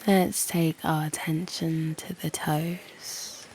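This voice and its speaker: A British woman guiding a meditation in a soothing, breathy near-whisper, leaving long pauses between short phrases. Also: low-pitched, little pitch movement. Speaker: meditation guide and channel host. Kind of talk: slow guided yoga nidra meditation. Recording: faint background noise with birdsong. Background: birdsong and soft nature ambience.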